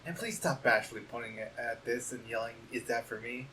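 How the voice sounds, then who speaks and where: A man voicing a shy young goat prince who speaks softly, hesitantly and politely. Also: medium pitch, brisk, slight background noise, close to an everyday voice.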